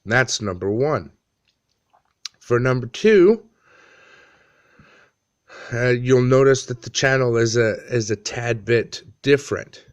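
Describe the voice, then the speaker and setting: A man in his thirties giving a casual how-to walkthrough in a halting, plain-spoken way, pausing often. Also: mid-range voice, lively intonation. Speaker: amateur tutorial presenter. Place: small dim room indoors.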